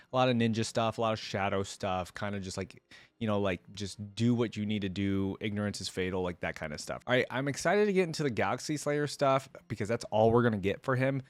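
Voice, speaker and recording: A man's voice: on the low side, dry recording. A man hosting a reaction video, giving casual, off-the-cuff commentary.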